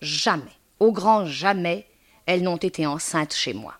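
A woman's voice: fairly low pitch, lively intonation, a little slow, a bit bright. A woman voicing a gossipy, melodramatic landlady in a literary tale, chattering and exclaiming effusively. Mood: gossipy, indignant. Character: gossipy, limping, one-eyed middle-aged landlady, effusive and melodramatic. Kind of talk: dramatic literary reading.

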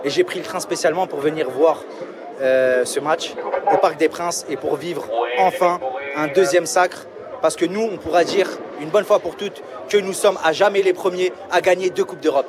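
Proud male football fan, high voice. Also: normal speed, background noise. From Seine-Saint-Denis, France. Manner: proud, emphatic. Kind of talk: interviewed in the street. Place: outdoors outside a stadium. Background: crowd.

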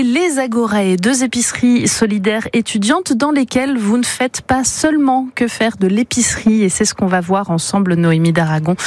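A woman hosting a local radio magazine, lively and jokey, often rephrasing and summing up what her guest says. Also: medium pitch, no room sound. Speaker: radio host. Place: radio studio. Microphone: studio microphone.

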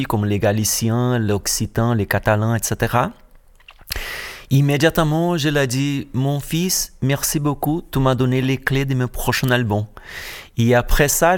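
A middle-aged Brazilian musician speaking French with a Portuguese accent, pausing often and restarting while being interviewed. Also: male voice, mid-range voice. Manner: searching for words, many hesitations and restarts. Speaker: musician guest. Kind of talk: radio interview.

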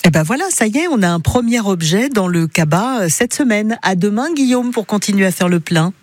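A woman's voice: medium pitch, animated, fairly quick, a little mellow, dry recording. Dry-sounding woman radio presenter. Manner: smooth, announcing tone. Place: radio studio.

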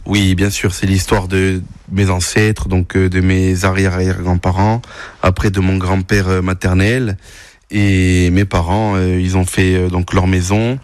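A man's voice: low-pitched, flat delivery, a little slow, no echo. A young man from Corsica, a farmer, answering radio interview questions in a hesitant way with many fillers. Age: young adult.

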